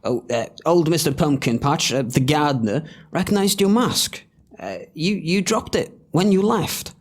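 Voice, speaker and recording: A man's voice: fairly high pitch, normal speed, close to an everyday voice. A man playing an earnest, bumbling young prince in an audio pantomime, hesitant and prone to stammer. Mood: earnest, tender.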